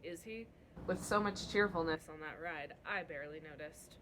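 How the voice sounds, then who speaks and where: A woman's voice: sits low, moderate pace, noisy background, lightly acted. A woman voicing a wry, guarded and defiant young prince in a storybook read-aloud.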